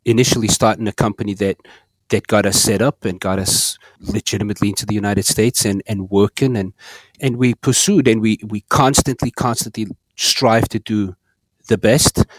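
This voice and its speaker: A man's voice: on the low side, fairly even intonation, moderate pace, breathy edge, dry recording, rough recording. A man raised in South Africa, an earnest podcast guest who often repeats words as he speaks. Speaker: guest, store operator. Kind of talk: podcast interview.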